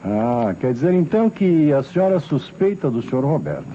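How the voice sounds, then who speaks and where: A pressing Brazilian police commissioner from a vintage radio mystery. He is sardonic and sceptical, with a mellow, very varied delivery. The old recording is dull, with light noise. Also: fairly high pitch, sing-song, moderate pace. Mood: inquisitive. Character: insistent, sceptical male police commissioner questioning suspects.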